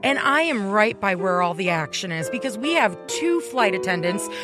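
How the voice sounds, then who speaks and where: A woman hosting a radio show, telling a comic anecdote in an animated, conversational way. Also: medium pitch, moderate pace. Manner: animated, conversational, acts out dialogue. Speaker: radio morning show host. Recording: music bed under the voice. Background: music.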